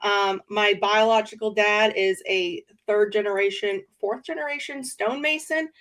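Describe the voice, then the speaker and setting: A woman's voice: medium pitch, steady tone, moderate pace, fairly bright tone. Woman guest, nasal and congested from a cold, chatty and laughing. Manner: chatty, laughs often, many fillers. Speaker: podcast guest. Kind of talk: casual chat.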